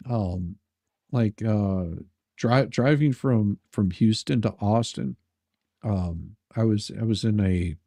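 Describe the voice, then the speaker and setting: A man with a dark, low-leaning voice tells stories in casual conversation. He speaks haltingly, circling back and repeating words as he rambles along. The sound is dry and close, without room echo. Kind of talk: casual chat, telling personal anecdotes.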